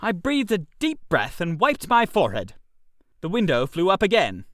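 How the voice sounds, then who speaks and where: A man narrating a comic first-person story in a theatrical, expressive manner. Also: high voice, normal speed, no echo, clean studio sound.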